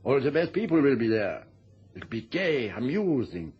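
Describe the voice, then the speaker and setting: An actor playing a suave, courtly count in a radio play, hesitating delicately. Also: male voice, medium pitch, very animated, moderate pace, husky. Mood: charming, eager. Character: suave, courteous continental count, gently angling for money. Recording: dull, muffled old radio recording.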